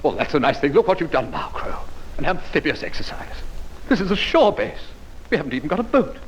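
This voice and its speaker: An actor playing a flustered, bumbling British naval officer who hesitates and stammers, in old radio comedy style. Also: male voice, a little high, big pitch swings, fairly quick. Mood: exasperated. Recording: noisy, dull old radio recording.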